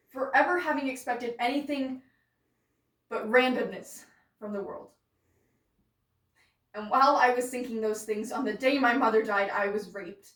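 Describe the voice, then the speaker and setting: Disillusioned, grieving woman played by a female actor, theatrical monologue, halting and bitter, heard from across an echoey room. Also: sits high. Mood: bitter, questioning, then wounded, bitter. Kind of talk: dramatic stage monologue. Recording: roomy echo, distant microphone.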